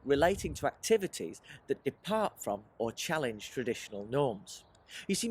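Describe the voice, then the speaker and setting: A man presents a lesson for children with a lively, swooping delivery. His voice has a husky edge. He is encouraging and often poses questions to the listener as he explains. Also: mid-range voice. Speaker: writer leading a children's creative writing workshop. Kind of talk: presentational teaching aimed at children, with rhetorical questions.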